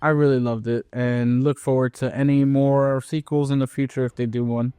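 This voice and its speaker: A man's voice: medium pitch, flat delivery, normal speed, mellow, clean tone, no echo. Easygoing man chatting about games. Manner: easygoing, hesitant, many fillers and restarts. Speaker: podcast co-host. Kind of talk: casual chat.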